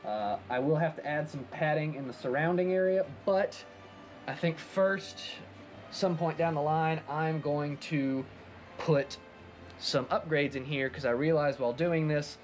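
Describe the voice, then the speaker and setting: A man's voice: a little high, measured pace, soft background music. A man giving a casual, enthusiastic recap of a craft project as a hobbyist video presenter.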